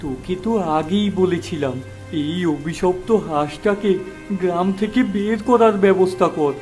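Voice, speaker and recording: A man's voice: sits high, normal speed, darkish tone, faint music behind, lightly acted. A man playing a stern, commanding figure in a cartoon fairy tale, speaking emphatically. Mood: scolding. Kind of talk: animated fairy tale character acting.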